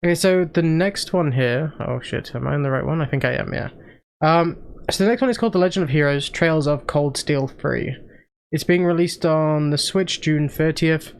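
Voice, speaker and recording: A man's voice: on the high side, normal speed, vocal fry, clear, resonant tone. A man hosting a casual game-trailer reaction stream, presenting with lots of ums and restarts. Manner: hesitant, filler-heavy presenting. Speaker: stream host.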